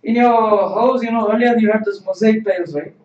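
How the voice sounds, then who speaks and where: Male kanji teacher, high voice, repetitive explainer, echoey room. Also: a little fast, darkish tone. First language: not Japanese. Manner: explanatory, repeats words, asks "right?". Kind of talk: livestream kanji lesson. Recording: distant, roomy, dull lo-fi stream audio.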